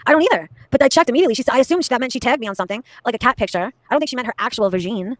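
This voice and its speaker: A woman hosting a livestream, excitable and profane, laughing often as she chats. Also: on the high side, racing, no room sound. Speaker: livestream host. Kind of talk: casual livestream chat.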